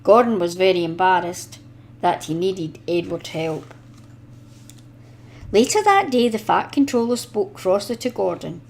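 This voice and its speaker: A woman reading a children's storybook aloud in an expressive, deliberate storytelling manner. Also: mid-range voice, slight background noise. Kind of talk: expressive scripted storybook narration.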